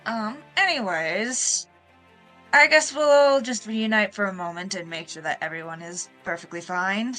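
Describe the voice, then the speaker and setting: Bright-voiced woman role-playing a captain. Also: mid-range voice, moderate pace, soft background music. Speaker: player as ship captain in tabletop game. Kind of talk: in-character tabletop role-play chat.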